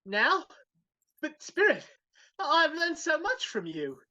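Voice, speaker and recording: Woman as bright, anxious, repentant old Scrooge. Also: high voice, wildly swooping pitch, slow, heavily acted. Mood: pleading. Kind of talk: live amateur dramatic reading. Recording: dull, lo-fi video call audio.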